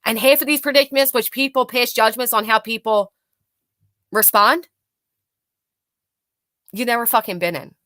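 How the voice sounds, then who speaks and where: A woman's voice: fairly high pitch, moderate pace, long pauses, sharp tone, no room sound, clean studio sound. A blunt, sarcastic woman hosting a live stream, mocking clips, chatting with viewers and swearing freely. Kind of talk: live commentary reacting to clips and reading chat.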